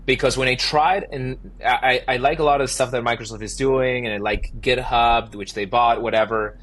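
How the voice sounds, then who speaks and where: A man with an Argentinian accent, a startup founder answering podcast interview questions in animated, emphatic bursts. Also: medium pitch, normal speed.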